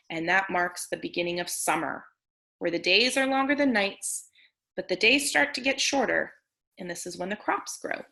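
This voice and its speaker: Female educator for online story lesson: bright, forward delivery with noticeable vocal fry, explaining things simply. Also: mid-range voice. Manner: explanatory, breaking down words simply. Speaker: teacher reading a picture book aloud to children. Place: online video meeting with screen sharing.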